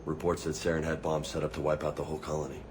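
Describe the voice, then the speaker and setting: A man playing a gruff, cocky soldier squadmate in a video game, talking in a blunt, casual, skeptical way. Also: rumbling low, completely flat delivery, very fast, background noise. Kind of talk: video game cutscene acting.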